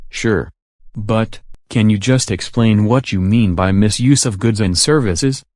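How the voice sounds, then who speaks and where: A man's voice: fairly low pitch, a little slow, no echo, studio quality. A man hosting a prank call video, narrating and talking on the phone. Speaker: prank caller and channel host. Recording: loud music over the voice. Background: loud music.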